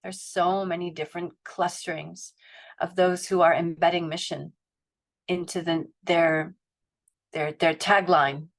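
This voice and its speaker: A woman's voice: lowish voice, level tone, unhurried. Reflective middle-aged woman on a webinar. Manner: reflective, roundabout, many restarts and fillers. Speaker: panelist at a family foundation. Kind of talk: webinar panel talk. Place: home.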